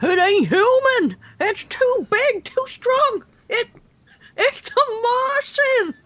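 Very high, shrill, frightened bystander played by a woman. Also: expressive, takes it slowly, fairly bright tone, no echo, heavily acted. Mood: terrified. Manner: melodramatic. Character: excitable, frightened comic-book bystander. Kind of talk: amateur superhero radio play acting.

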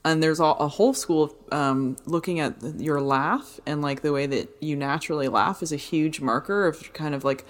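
A woman with a notably low voice, chatting casually as a podcast co-host. She rambles through anecdotes with plenty of "um" and "like", and laughs easily. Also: normal speed, few pauses. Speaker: podcast co-host, fitness and dance teacher.

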